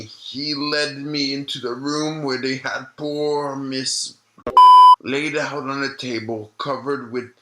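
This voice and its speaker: Man acting a slow-spoken, haunted elderly doctor. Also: mid-range voice, sharp tone, low-quality recording. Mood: somber recollection. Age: older. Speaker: retired obstetrician being interviewed. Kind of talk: dramatized audio-log testimony.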